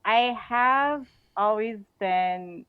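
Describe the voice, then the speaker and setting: An American woman chatting casually as an interview guest, animated, effusive and often giggly. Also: a little high, very slow, clear, resonant tone, dry recording. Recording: slightly muffled, dry audio.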